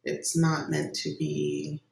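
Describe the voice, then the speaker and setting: A meditation guide giving gentle, unhurried instructions with long pauses between phrases. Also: mid-range voice, very animated, creaky. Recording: roomy echo, distant microphone.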